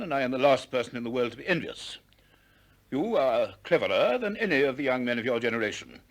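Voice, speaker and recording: A man's voice: medium pitch, fairly bright tone. An actor playing a dignified older male sophist who concedes reluctantly, speaking formally and hesitantly in a 1960s radio drama. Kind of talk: 1960s radio drama acting of philosophical dialogue.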